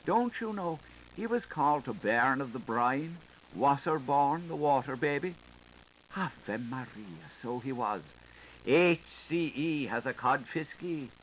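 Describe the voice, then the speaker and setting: Man as a sharp-tongued gossip, faint tape hiss. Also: medium pitch, lively intonation, measured pace, rough recording. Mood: relishing. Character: knowing, sharp-tongued Irish washerwoman telling scandalous gossip. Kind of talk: dramatic literary audiobook reading. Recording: hissy 1985 tape recording.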